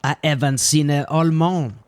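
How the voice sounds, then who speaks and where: A man's voice: a little high, steady tone, moderate pace, darkish tone, clear, resonant tone, natural voice. A man playing a plain-spoken, deferential gatekeeper of a medieval town in an audio drama, musing in folksy turns of phrase. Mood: musing, casual.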